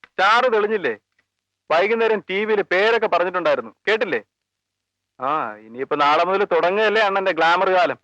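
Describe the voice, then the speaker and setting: A teasing, joking friend. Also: male voice, high voice, lively intonation, brisk, long pauses, bright, forceful tone, no echo, muffled recording. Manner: teasing, playful. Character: teasing male friend of a police officer. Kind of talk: 1980s film drama.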